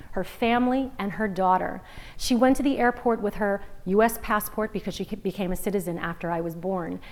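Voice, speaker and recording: A woman's voice: mid-range voice, poor audio. Earnest woman telling her story. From Singapore. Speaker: guest speaker, founder of a women's education forum. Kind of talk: prepared talk to a student audience. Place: university lecture room.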